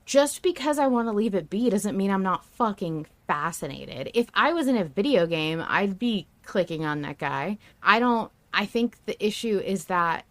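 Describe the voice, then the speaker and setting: A woman's voice: mid-range voice, animated, normal speed, few pauses, a bit bright, dry recording. A woman hosting a podcast, talking casually and emphatically as a fan with strong opinions. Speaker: podcast host discussing an audio drama.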